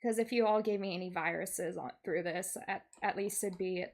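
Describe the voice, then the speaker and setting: A woman livestreamer chatting casually and telling stories in an animated, giggly, expressive way. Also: on the low side, moderate pace. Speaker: streamer, VTuber host.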